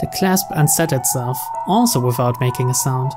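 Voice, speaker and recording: A man's voice: medium pitch, normal speed. A man reading visual novel narration aloud as the host of a game playthrough. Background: clear game music.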